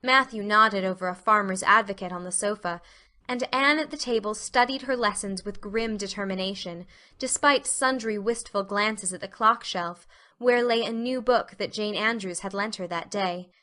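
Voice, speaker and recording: A woman's voice: mid-range voice, normal speed, pushed and bright. A woman reading scripted narration for an audiobook story.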